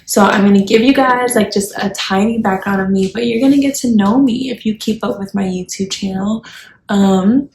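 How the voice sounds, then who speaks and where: A woman's voice: medium pitch, moderate pace, vocal fry, darkish tone. A woman in her twenties from Texas with an American accent, talking in a chatty, slangy, conversational way as she shares personal stories with viewers. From Texas, United States. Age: 20s. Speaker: YouTube vlogger. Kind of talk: casual personal storytelling to viewers. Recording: echoey room, faint background noise.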